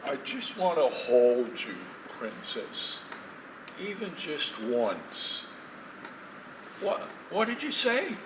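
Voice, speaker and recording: Stage drama role: man playing tender elderly man full of regret, slow halting delivery, recorded far from the mic. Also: on the high side, wildly swooping pitch, heavily acted. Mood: tender, shaken. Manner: halting, emotional, repeating words. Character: elderly divorced man, regretful, tender, longing for lost love. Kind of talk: stage play acting. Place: theatre stage, distant mic. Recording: echoey stage from far off, noisy.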